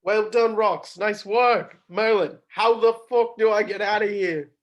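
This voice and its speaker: A man's voice: sits high, a little slow, muffled recording. Man urgently coaching over a video call. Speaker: table-read actor. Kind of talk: reading a role aloud.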